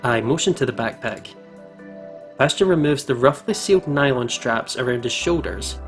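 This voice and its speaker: A man's voice: medium pitch, moderate pace. A man reading a visual novel aloud as a let's play host, reacting expressively, with music behind him. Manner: expressive, emotionally invested reactions.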